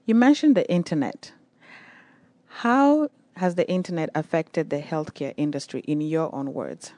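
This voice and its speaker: A woman's voice: sits low, lively intonation, unhurried, darkish tone, dry recording. A young adult woman hosting an interview, asking polite, brief questions. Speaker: interviewer, host.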